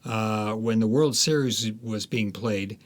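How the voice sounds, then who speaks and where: A man's voice: lowish voice, slow pace. An American man in his seventies from Los Angeles, an author reminiscing casually in an interview. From Los Angeles, United States. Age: 70s.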